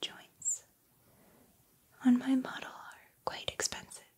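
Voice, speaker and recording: A woman voicing a gentle, encouraging combat training robot in a soft, breathy, measured ASMR roleplay whisper. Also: lightly acted. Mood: quiet, curious.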